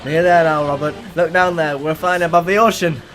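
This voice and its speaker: A woman's voice: sits low, steady tone, fairly quick, faint music behind, natural voice. Woman acting a carefree thrill-loving passenger. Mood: reassuring. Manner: reassuring, exuberant. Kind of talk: audio drama acting.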